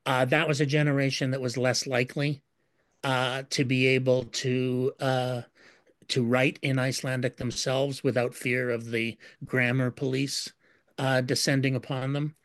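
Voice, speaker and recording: A man explaining history in an interview answer, measured and full of fillers and repeated words. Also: mid-range voice, flat delivery, no room sound.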